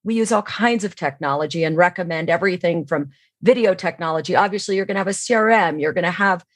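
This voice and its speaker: A woman's voice: on the low side, quick pace, no room sound, clean studio sound. A middle-aged woman explaining her views as an expert podcast guest, in an assured, emphatic way.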